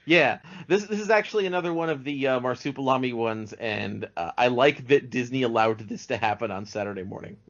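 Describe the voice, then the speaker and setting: A man's voice: mid-range voice, animated, brisk, few pauses. A man with a mid-range voice talks casually as a podcast guest. He sounds like an informed, keen animation buff. The recording is a little dull and lo-fi. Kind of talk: casual, knowledgeable cartoon chat.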